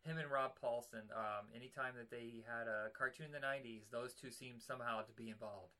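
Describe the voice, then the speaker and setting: A man's voice: mid-range voice, fairly even intonation, brisk, sharp tone. A man with a nasal voice, hosting a podcast in casual, rambling chat. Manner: rambling, self-deprecating. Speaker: podcast host.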